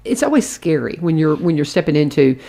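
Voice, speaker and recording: A chatty woman hosts a radio talk segment. Her voice sits a little low for a woman, and she rambles cheerfully, restarting her sentences as she goes. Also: sing-song, a little fast. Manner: chatty, warm-hearted rambling with many restarts. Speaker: radio show host. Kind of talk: casual radio interview chat.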